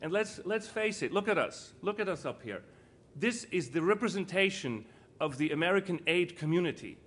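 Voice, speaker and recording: A man's voice: high voice, animated, moderate pace, a bit bright. A middle-aged man from Switzerland giving an informal conference speech, earnest and emphatic, with rhetorical questions and repetition for stress. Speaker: panel speaker, entrepreneur and foundation co-founder. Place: indoor conference panel, live audience. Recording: live event room with clear background noise.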